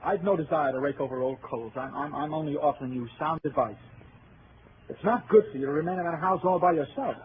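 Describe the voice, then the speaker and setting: Actor as insistent doctor, tinny old radio. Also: male voice, fairly high pitch, fairly quick, a little hiss or hum, close to an everyday voice. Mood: insistent, advising. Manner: insistent, persuasive. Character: brisk, patronizing male doctor advising a friend. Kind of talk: old-time radio drama acting.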